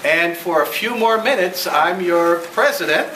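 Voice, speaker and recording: High-pitched man, formal club president, courteous and halting delivery, distant mic, noisy room. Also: sharp tone, echoey room. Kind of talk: formal welcome speech at a club meeting. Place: meeting room, recorded from a distance.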